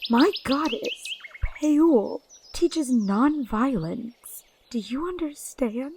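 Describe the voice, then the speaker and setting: A woman playing a devout, gentle healer priestess, solemn and emotional, in a fantasy audio drama. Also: high-pitched, animated, very slow, background noise, full character voice. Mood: distraught.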